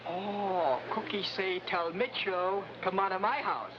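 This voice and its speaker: A woman plays a secretive foreign messenger with simple, broken phrasing. The delivery is mysterious, in old TV adventure style. The sound is dull with clear noise. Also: medium pitch, a little slow, natural voice. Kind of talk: 1950s TV adventure acting.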